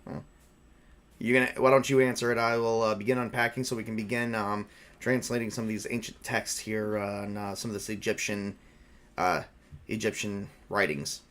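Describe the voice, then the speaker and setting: A man's voice: lowish voice, fairly even intonation, moderate pace, fairly bright tone, close to an everyday voice. A man improvising as a broke, defensive college teaching assistant in his thirties in a tabletop roleplay. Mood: matter-of-fact.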